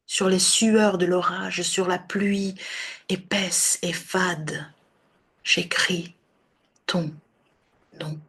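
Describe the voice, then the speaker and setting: A woman recites verse with solemn, dramatic care. Her voice sits somewhat low and is husky with breath, often sinking close to a whisper. She moves extremely slowly, setting down short phrases one by one with long pauses between them. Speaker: poetry reciter.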